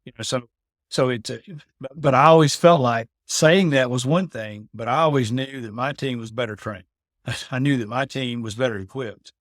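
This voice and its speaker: A man's voice: mid-range voice, normal speed, a bit bright, no room sound, clean studio sound. A middle-aged man, a veteran of his trade, explaining things in a casual conversation, often repeating words and asking "you know what I mean?". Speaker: guest, building automation integrator veteran.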